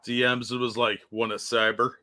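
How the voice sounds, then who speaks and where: A man's voice: medium pitch, little pitch movement, brisk, bright, forceful tone. A man co-hosting a casual stream, throwing in dry joking asides. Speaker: co-host. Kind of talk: casual banter.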